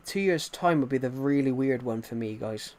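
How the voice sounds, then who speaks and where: A man's voice: mid-range voice, moderate pace, a little mellow. A man in his twenties chatting casually on a game stream, rambling and repeating himself while answering chat from a desk mic. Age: 20s. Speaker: gaming streamer.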